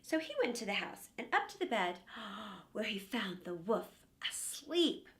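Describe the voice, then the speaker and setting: A woman reading a fairy tale aloud to children as an expressive storyteller. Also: mid-range voice, unhurried.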